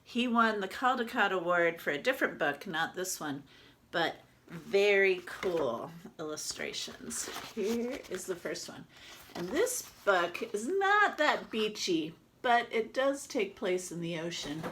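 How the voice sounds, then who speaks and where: Female teacher, read-aloud asides for kids. Bright tone, slow pace, simple explanations. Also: medium pitch, very animated, a little hiss or hum. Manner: explains simply to young listeners. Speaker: teacher reading a picture book aloud.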